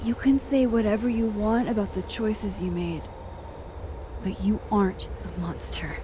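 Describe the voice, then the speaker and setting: An actress playing a gentle, self-doubting young woman in a video game, earnest, hesitant and reassuring. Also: medium pitch, steady tone, unhurried, background noise, lightly acted. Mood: reassuring, pleading. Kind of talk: naturalistic video game acting.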